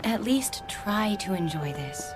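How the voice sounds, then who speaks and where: An actress playing a cheerful, teasing, romantic wife with an American accent, expressive and coaxing in a radio drama. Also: female voice, medium pitch, slow, music in the background.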